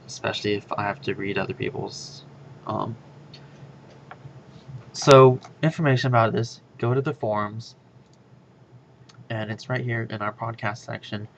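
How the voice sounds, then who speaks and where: A man hosting a casual guild podcast announcement, speaking in a monotonous, rambling way with many fillers. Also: gravelly. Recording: dull lo-fi with faint rumble.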